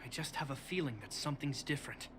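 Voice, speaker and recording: A man voicing a protective young soldier in a video game, earnest and measured, with a reassuring manner. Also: mid-range voice, noisy background, lightly acted. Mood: alert, steady. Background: game music.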